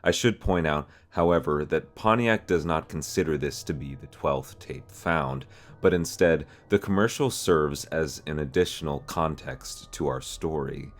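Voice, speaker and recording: A man's voice: extremely low pitch, a little slow, faint music behind. A man hosting an explainer series in a semi-scripted narration style. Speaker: host of an analog horror explainer series.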